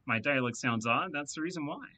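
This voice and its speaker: A man's voice: a little high, lively intonation, brisk, pushed and bright. A man voicing a rambling, talkative male hacker who boasts and amuses himself in a video game read-aloud. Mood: boastful.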